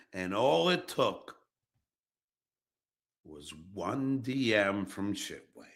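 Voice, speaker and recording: Very slow-talking man on an angry rant. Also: medium pitch, gravelly, sharp tone. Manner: confrontational, profane, emphatic with dramatic pauses. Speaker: online show host. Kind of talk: angry personal rant addressed to an absent rival.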